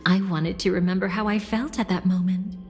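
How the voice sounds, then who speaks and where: A woman playing a seductive, mocking villainess in a video game, a capricious traitor who relishes destruction and speaks in teasing, theatrical, drawn-out phrases. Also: mid-range voice, steady tone, close to an everyday voice. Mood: sly, nostalgic. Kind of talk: dramatic video game voice acting. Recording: long reverb, game music behind.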